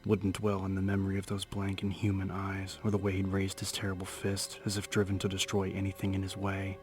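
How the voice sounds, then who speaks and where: A man narrating a horror audiobook in a dramatic scripted style, with measured, suspenseful storytelling. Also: sits low, monotone. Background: music.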